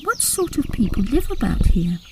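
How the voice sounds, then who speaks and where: An earnest little storybook girl, voiced by a woman, speaks in a high, swooping tone. She is polite, curious and persistent, with faint noise in the recording. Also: sing-song, normal speed, far from the mic. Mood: timid, curious.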